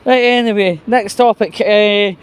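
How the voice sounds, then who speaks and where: A man giving casual, opinionated news commentary in a rambling, halting, sweary way. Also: high voice, fairly even intonation, smooth. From United Kingdom. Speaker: host of his own commentary vlog.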